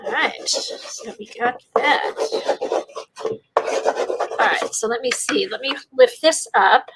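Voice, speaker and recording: A woman's voice: on the high side, slow, very noisy. A woman with a congested, stuffy voice from a sinus infection, demonstrating a craft technique and thinking aloud as she works. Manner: rambling, thinking aloud while working. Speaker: art livestream host. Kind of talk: casual art demonstration.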